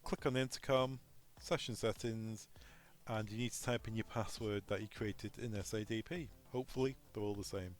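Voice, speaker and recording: A man's voice: on the low side, steady tone, moderate pace, few pauses. A man presenting an unscripted technical tutorial, informally talking through each step aloud as he goes. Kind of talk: unscripted step-by-step software walkthrough.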